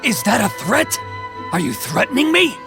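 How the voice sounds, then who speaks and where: Greedy blustering bureaucrat for a video game: high-pitched, swinging intonation, indignant and self-justifying. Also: male voice, wildly swooping pitch, moderate pace, creaky, full character voice. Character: greedy, corrupt, blustering male tax official. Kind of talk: video game cutscene acting. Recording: music under the voice. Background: background music.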